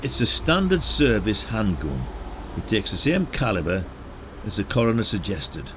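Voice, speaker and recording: A man's voice: lowish voice, big pitch swings, normal speed, noisy background. A man with a British accent playing an ageing, friendly, stubborn retired detective in an audio drama, chatting in a chummy, mildly grumbling way. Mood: brisk, matter-of-fact.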